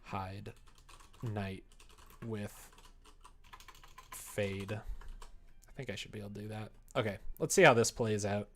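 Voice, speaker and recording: A man's voice: on the low side, steady tone, slow, pauses often, a bit bright. A man live-streaming casual, playful chat as he writes and codes, sometimes mumbling to himself. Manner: playful, joking asides. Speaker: live streamer making a visual novel. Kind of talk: casual chat while writing and coding.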